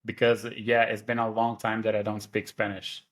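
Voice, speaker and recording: A man's voice: lowish voice, completely flat delivery, normal speed. A man from Brazil whose first language is Portuguese, speaking with a Portuguese accent, chatting as a guest over a video call with many restarts and fillers. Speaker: guest, online seller. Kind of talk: casual interview chat.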